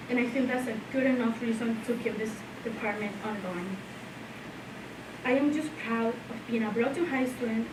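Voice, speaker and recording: A teenage girl from Honduras, a native Spanish speaker, delivers heartfelt prepared remarks in a fairly high voice. She stands back from the mic, and her words ring around a roomy, echoing space with steady background noise. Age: late teens. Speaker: student speaker. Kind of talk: prepared speech at a school event. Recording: echoey room, voice from far off, noisy.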